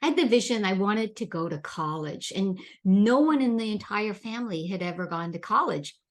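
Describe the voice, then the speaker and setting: A woman originally from the Bahamas hosts a leadership interview in an encouraging way, often repeating words as she builds up her questions. Her voice sits at a middle pitch. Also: animated, normal speed. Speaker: podcast host. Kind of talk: interview chat.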